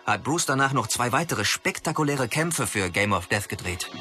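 A man's voice: mid-range voice, expressive, normal speed, over loud music, lightly acted. A man playing a keen, knowledgeable film buff explaining things to a friend in a scripted radio play. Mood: keen, knowing.

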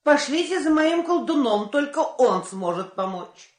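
A woman's voice: sits high, big pitch swings, measured pace, darkish tone, roomy sound. A woman playing a haughty, scornful queen in a fairy-tale audio play, with an imperious, dramatic manner. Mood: scornful, commanding.

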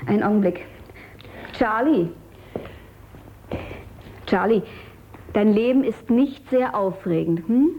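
A woman's voice: medium pitch, lively intonation, slow, pauses often, slight background noise. A woman playing a shrewd, inquisitive and insistent character in a radio drama. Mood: curious, probing. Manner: probing, insistent. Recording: dull, muffled radio drama recording.

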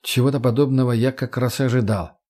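A man's voice: medium pitch, steady tone, fast talker, dark tone, natural voice. A man playing an irritable, pedantic, weary gang boss in an audiobook, dry and sarcastic.

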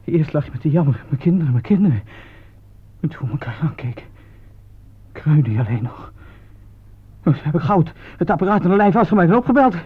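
An actor playing a naive, shaken young soldier at the front in a radio play, speaking haltingly and in distress. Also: male voice, on the high side, lively intonation, breathy edge. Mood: agitated recounting. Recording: dull lo-fi old radio recording.

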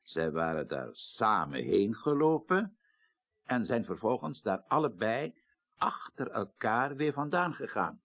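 A low, husky Dutch man as a careful official in an eighties radio mystery. He questions formally and insistently, with lively shifts in pitch. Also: a little slow, full character voice. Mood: pressing, serious. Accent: Dutch, Netherlands. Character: earnest, discreet male official probing a delicate case. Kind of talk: 1980s radio drama acting.

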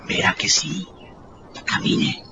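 An actor playing a cold, menacing invisible man in a radio drama, speaking in a breathy, whispery rasp with deliberate threat. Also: heavy background noise, close to an everyday voice. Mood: threatening. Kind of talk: Spanish-language radio drama acting. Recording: dull sound with music underneath.

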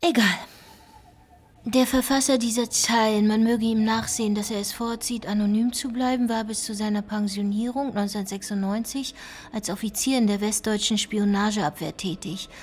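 Actress as sober female investigator, radio drama, mid-pitched, precise and sceptical. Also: steady tone, normal speed, a little mellow, close to an everyday voice. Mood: sober, measured. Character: sober, sceptical woman investigator reading out secret files. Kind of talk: radio play dialogue and document reading.

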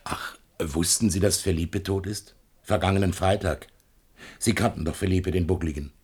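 A man's voice: deep voice, fairly even intonation, normal speed, a little mellow, slightly rough. An actor playing an evasive, talkative shopkeeper in a German radio play, chatty and patronizing as he placates a customer.

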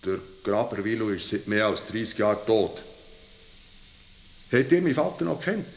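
An actor in a radio play playing a wary, plain-spoken village mechanic with a Bernese Swiss German accent, a little hesitant. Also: male voice, lowish voice, expressive, normal speed, creaky, slight background noise. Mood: wary, puzzled.

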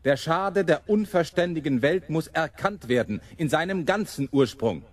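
Fiery rebel preacher in a radio drama, swoops up and down, bitter oratory, dry close sound. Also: male voice, on the high side, animated, moderate pace, no echo. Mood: impassioned, bitter. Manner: oratorical, mocking the powerful. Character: impassioned male rebel preacher, champion of the common people. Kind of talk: German radio play monologue.

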